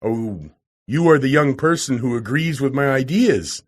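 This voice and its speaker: A man's voice: medium pitch, animated, unhurried. A man reading aloud who voices a hot-tempered, blustering older professor with a roaring manner. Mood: scornful.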